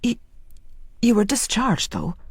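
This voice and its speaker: An inquisitive woman, as a female narrator plays her, polite and a little uneasy. Her short, eager questions move freely in pitch over a husky, close and dry sound, in storybook style. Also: wildly swooping pitch, takes it slowly, a little mellow, no echo, heavily acted. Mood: puzzled, probing.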